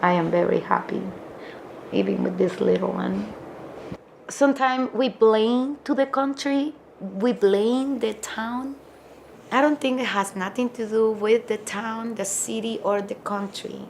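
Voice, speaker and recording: A woman's voice: a little high, unhurried, vocal fry, slight background noise. Reflective Salvadoran woman in her fifties. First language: Spanish. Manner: heartfelt, reflective, often restarting phrases. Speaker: barber shop owner. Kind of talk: documentary interview.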